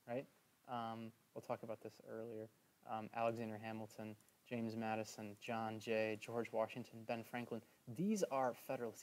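A man teaching a history lesson over slides in a casual, chatty way, filling pauses with "um" and checking in with "right?". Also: medium pitch, poor audio. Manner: chatty, many "um"s and "right?" asides.

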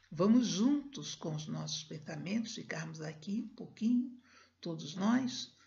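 Older female spiritist lecturer, unhurried reading, muffled video call audio. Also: lowish voice, vocal fry. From Brazil. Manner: slow, measured reading with soft prayerful passages. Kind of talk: reading and prayer at a spiritist meeting. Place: home room, indoors. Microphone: laptop webcam on a video call.

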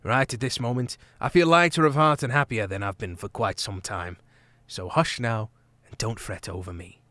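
A man voicing a calm, noble mouse warrior. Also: moderate pace, close to an everyday voice. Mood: reassuring. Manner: low, steady, solemn.

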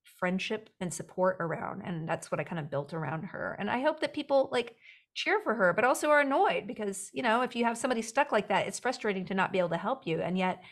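A woman in her forties with a youthful-sounding voice talks into a headset mic from a home room lined with bookshelves. She is chatty and animated and laughs between quick asides. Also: mid-range voice. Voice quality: young-sounding voice. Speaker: actor and writer guest. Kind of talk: casual interview chat.

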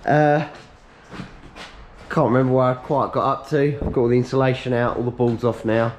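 Man, thirties, hoarse, chatty and upbeat, head-mounted camera in a big workshop. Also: mid-range voice, level tone, moderate pace, darkish tone, slight background noise. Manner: chatty, upbeat, matter-of-fact while working. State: hoarse, losing his voice. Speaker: workshop owner vlogging. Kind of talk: casual chat to camera. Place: large workshop being stripped out, indoors. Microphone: head-mounted action camera.

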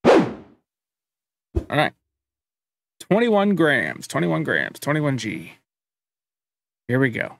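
A man's voice: medium pitch, slow pace, gravelly, long pauses, background noise. Chatty man hosting a music reaction. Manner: relaxed, chatty, many um fillers. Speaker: reaction channel host. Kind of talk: casual music reaction commentary. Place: home studio room.

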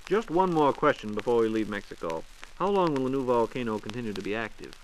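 Brisk American 1940s radio host, man. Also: medium pitch, animated. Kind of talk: 1940s scripted radio hosting. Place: radio studio. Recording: noisy vintage 1940s radio transcription disc.

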